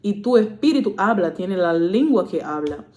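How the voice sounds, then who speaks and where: A woman whose first language is Portuguese, preaching informally and earnestly, often pausing on fillers and correcting herself. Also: mid-range voice, lively intonation, darkish tone, reverberant.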